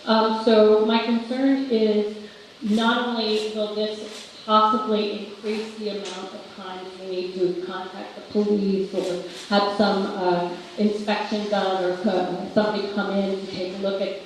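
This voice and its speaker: A woman asking an earnest question as a resident at a public meeting, with some ums and restarts. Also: medium pitch. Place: large indoor meeting room. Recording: echoey hall, distant mic, faint noise.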